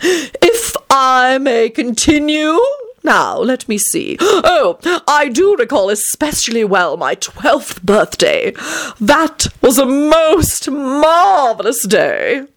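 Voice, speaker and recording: A woman voicing a pompous, theatrical male vampire who relishes telling his own grand tale, slow and drawn out. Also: sits high, big pitch swings, pitched above the performer's own voice, exaggerated voice. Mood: grandiose. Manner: grandiose, drawn-out, preening delivery.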